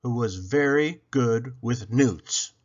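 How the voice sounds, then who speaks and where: A man narrating a children's picture book as a storyteller, with an expressive, deliberate delivery. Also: medium pitch. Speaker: read-aloud storyteller. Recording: dull lo-fi recording.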